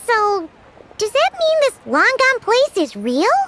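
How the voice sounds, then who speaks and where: A woman voicing a tiny, childlike fairy companion in a video game, squeaky, chirpy and inquisitive. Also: huge pitch swings, slow pace, noisy background, heavily acted. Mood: curious, excited. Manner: squeaky, refers to herself by name. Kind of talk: animated video game acting.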